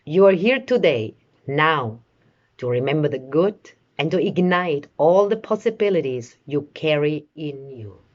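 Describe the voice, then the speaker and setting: Woman, low voice, unhurried soothing delivery, meditation guide, pauses between short phrases, slightly muffled lo-fi sound. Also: animated. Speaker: meditation guide, life coach.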